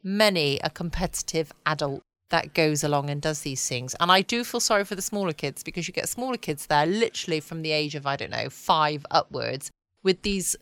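Low-toned woman chatting. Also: fairly bright tone, clear, resonant tone, no room sound. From United Kingdom. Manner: rambling, musing to herself. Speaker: podcast host. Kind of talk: casual bedtime chat.

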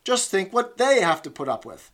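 A man's voice: very animated, takes it slowly, bright, forceful tone, full character voice. A man voicing a shrewish, bossy woman fitness instructress in her late thirties who barks commands. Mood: bossy, exhorting. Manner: shrill, barking commands.

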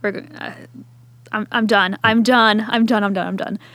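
Woman, thirties, from Appalachian Ohio, medium pitch, casual storytelling, interview guest, dry room sound. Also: steady tone, moderate pace, fairly bright tone, no room sound. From southern Ohio Appalachia, then Richmond, Virginia.

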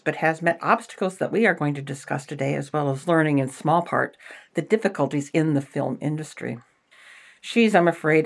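A woman hosting an interview podcast, introducing and questioning in a measured, polite manner. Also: low-pitched.

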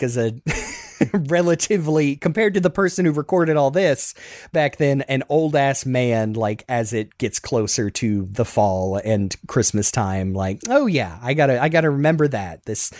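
A man's voice: mid-range voice, a little mellow, no room sound, clean studio sound. A man chatting reflectively as a podcast panelist, earnest and self-deprecating, often feeling for his words in a rambling way. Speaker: podcast panelist, writer of a fan radio play. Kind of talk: reflective casual chat.

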